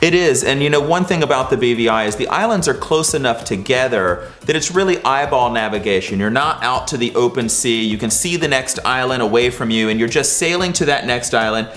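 A man in his forties co-hosting a travel show, chatting with his wife in a relaxed, conversational way on a studio set. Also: mid-range voice, brisk, hardly any pauses. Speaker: co-host. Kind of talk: casual scripted chat. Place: indoor studio set with sofa.

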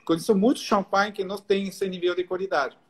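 A man's voice: high voice, steady tone, fairly quick. A middle-aged man from France with a French accent, a guest enologist explaining things in a friendly way, with many restarts, on a live video call from home. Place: home room, indoors. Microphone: video call on laptop.

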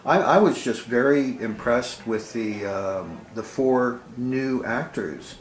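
A man interviewing someone casually, in a halting, hesitant manner. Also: medium pitch. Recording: roomy indoor sound, faint hiss.

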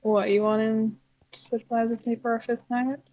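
A woman's voice: on the high side, little pitch movement, moderate pace, muffled recording. A woman role-playing a grumpy, unimpressed puppet, trading curt, dry, dismissive banter during a game. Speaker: role-play gamer.

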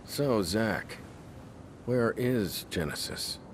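An actor playing a weary, solemn middle-aged man turned winged clone in a video game, speaking in a measured, formal way. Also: on the low side, lively intonation, soft background music. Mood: calm, musing. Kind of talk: English video game voice acting.

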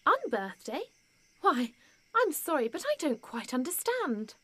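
A woman's voice: high-pitched, very animated, a little slow, bright, forceful tone, in a large hall, heavily acted. A woman playing a curious, polite, easily flustered young girl in an audio drama, wondering and earnest. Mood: polite, puzzled.

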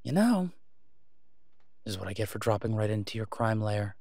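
A man plays a brash masked vigilante in an audio drama. His voice is fairly low and sarcastic, full of dry taunts, with a close, dry recording. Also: sing-song, normal speed, a little mellow, dry recording. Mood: wry, defiant.

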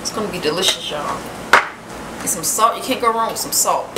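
A woman's voice: mid-range voice, brisk, very noisy. A young woman in her twenties talking casually through a home cooking demonstration in her kitchen, saying "you know" and "y'all" a lot. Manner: chatty, loose, says "you know" and "y'all" often. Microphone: camera mic from across the kitchen. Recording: roomy kitchen audio from a distant camera, loud music underneath.

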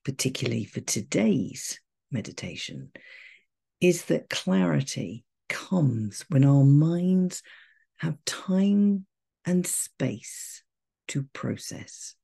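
A woman guiding a meditation in a soft, breathy, soothing voice, gentle and measured with long pauses. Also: low voice, big pitch swings. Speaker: meditation guide. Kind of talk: guided meditation, calm and soothing.

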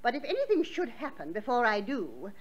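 An actress playing an elderly, well-off aunt, businesslike and firm, in old-time radio drama style. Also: female voice, fairly high pitch, huge pitch swings, a little fast, highs cut off. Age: older. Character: elderly, brisk, wealthy aunt, firm with servants.

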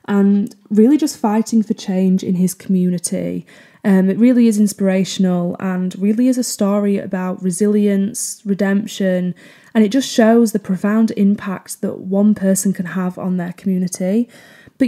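Young woman host, very warm dark tone, soft friendly podcast intro. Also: mid-range voice. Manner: gentle, upbeat introduction with soft fillers. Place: living room with sofa.

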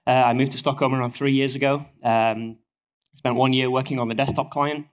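A man in his thirties with a broad northern English accent presents at a conference lectern, speaking into a headset mic. He is getting over a cold, and his delivery is steady and explanatory, scattered with ums and uhs. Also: medium pitch, fairly quick. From northern England. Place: stage of a conference hall, at a lectern.

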